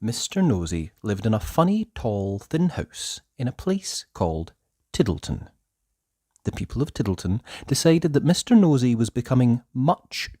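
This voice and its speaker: A storyteller reading a children's book aloud in a theatrical, deliberate way with drawn-out emphasis. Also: medium pitch, warm tone, no room sound, studio quality. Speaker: storybook narrator. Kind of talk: expressive children's read-aloud narration.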